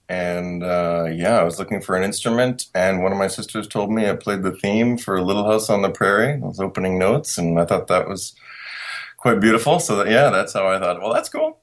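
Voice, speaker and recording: Low-voiced guy from canada, dry jokes, easygoing storyteller. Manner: wry, self-deprecating storyteller. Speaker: guest musician. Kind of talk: casual interview chat.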